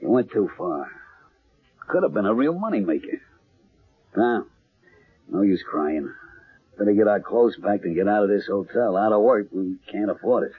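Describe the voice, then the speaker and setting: A husky, down-to-earth male stage hustler in a vintage radio mystery. His delivery is that of old radio acting, over narrow, muffled broadcast sound. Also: lowish voice, expressive, normal speed, long pauses. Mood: glum, uneasy. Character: male vaudeville partner of a mind reader, down-to-earth hustler.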